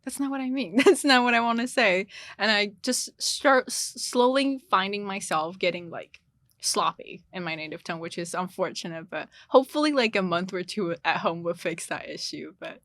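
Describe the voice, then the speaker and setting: A woman's voice: mid-range voice, normal speed, fairly bright tone, no echo. A young woman from China with a slight Chinese accent, a native Chinese speaker chatting casually as a podcast guest, laughing often and restarting her phrases. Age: young adult. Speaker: guest, international student. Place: small studio.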